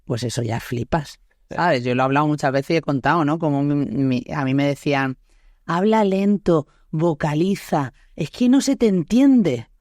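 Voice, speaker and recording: A woman's voice: deep voice, expressive, moderate pace, a little mellow, no room sound, studio quality. A woman in her thirties with an Andalusian accent from Málaga, hosting a podcast in a chatty, laughing way full of tag questions. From Andalusia, Spain. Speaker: podcast host. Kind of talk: casual chat.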